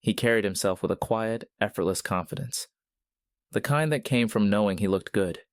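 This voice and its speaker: A man narrating a scripted story in a measured, literary manner. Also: lowish voice, no echo.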